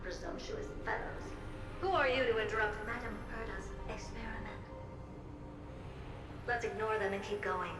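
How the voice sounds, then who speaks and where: A woman's voice: medium pitch, moderate pace, pauses often, natural voice. A woman playing a haughty, impatient genius scientist in a video game, with an imperious, dry and dramatic manner. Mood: dismissive. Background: prominent music.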